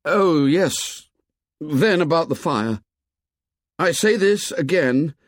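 A man voicing a desperate, earnest schoolboy leader, pleading and shouting in a raised voice. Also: fairly high pitch, animated, slow pace, no echo, studio quality, pitched above the performer's own voice. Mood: urgent, pleading. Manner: pleading, rising to angry shouts.

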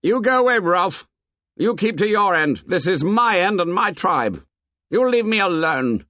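Audiobook savage boy chief man performing: high, shouting, truculent, taunting. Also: lively intonation, slow pace, dry recording, clean studio sound, full character voice. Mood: hostile. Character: hostile, taunting boy leader of savage tribe. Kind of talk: audiobook character acting.

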